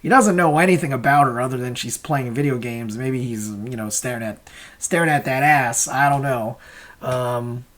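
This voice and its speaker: A man's voice: mid-range voice, normal speed, fairly bright tone. A man giving casual, rambling creator commentary in a hesitant, self-questioning way. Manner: hesitant, self-questioning, chuckles while explaining. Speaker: comic creator and host.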